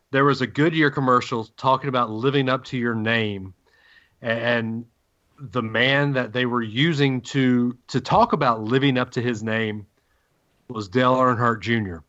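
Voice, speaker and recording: The recording is a bit dull and lo-fi. A man of average pitch hosts a sports show in casual banter, freely giving opinions and teasing his co-hosts. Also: sharp tone. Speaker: sports podcast host. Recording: dull, lo-fi audio.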